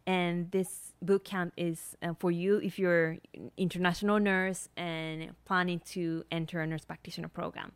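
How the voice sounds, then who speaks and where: A woman's voice: lowish voice, steady tone, normal speed, clear, resonant tone, dry recording. A woman in her thirties from Japan with a Japanese accent, a nurse practitioner hosting a podcast and talking earnestly with many ums and you-knows. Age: 30s. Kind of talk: solo podcast monologue.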